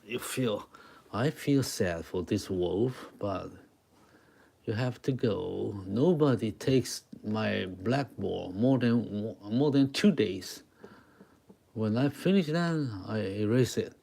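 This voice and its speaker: Slow-talking man with a Taiwanese accent. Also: on the low side, expressive, gravelly, dark tone. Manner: halting, repeats short phrases, talks to viewers. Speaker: live-stream drawing artist and host. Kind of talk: casual live-stream chat with drawing demonstration.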